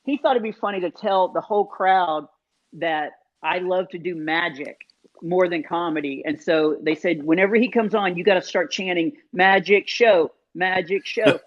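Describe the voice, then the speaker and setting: A man, a comedian guest in an interview, telling self-deprecating stories in an animated, casual way with many fillers. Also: high voice, moderate pace. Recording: dull lo-fi sound.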